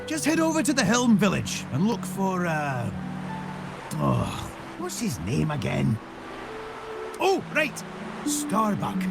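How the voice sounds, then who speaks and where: Gravelly, wildly animated old sea captain. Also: male voice, high voice, heavily acted. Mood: hearty, rambling. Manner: folksy, animated, swooping delivery. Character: eccentric, jovial old seafaring captain. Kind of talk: video game character acting.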